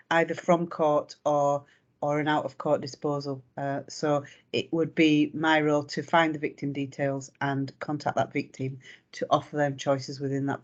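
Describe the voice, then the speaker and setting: A woman, a liaison officer speaking matter-of-factly on a video call. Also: deep voice. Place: home room indoors. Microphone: video call webcam. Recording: dull lo-fi video call audio.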